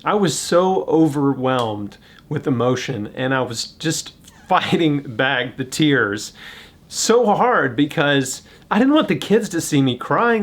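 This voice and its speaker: A man narrating a vlog in a casual, heartfelt, conversational way. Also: fairly high pitch. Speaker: vlog host.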